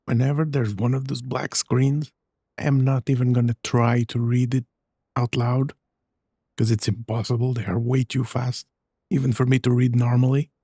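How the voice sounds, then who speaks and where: A gaming streamer with a soft, husky voice, giving casual let's play commentary. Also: mid-range voice, little pitch movement, moderate pace, dry recording, clean studio sound. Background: game music.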